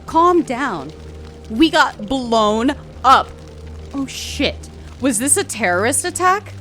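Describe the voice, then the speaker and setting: Panicky, mouthy teenage girl, female actor: high register, drawn-out delivery, audio drama. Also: very animated, fairly bright tone, faint music behind, heavily acted. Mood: panicked, outraged.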